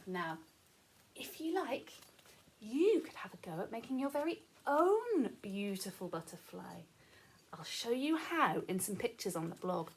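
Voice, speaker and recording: Slow, high-voiced woman storyteller for children. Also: slight background noise. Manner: gentle, reassuring, addressing young children simply. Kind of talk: expressive children's story reading.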